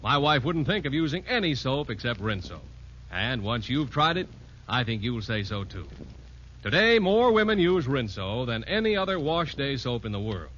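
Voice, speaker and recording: Animated vintage radio pitchman. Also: moderate pace. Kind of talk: scripted vintage radio commercial. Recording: dull, noisy vintage radio recording.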